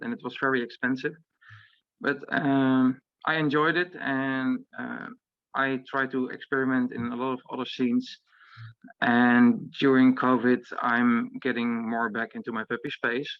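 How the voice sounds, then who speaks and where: A man in his thirties, a guest on a casual video call, speaking haltingly with careful phrasing. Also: medium pitch, no echo, dull audio. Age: 30s.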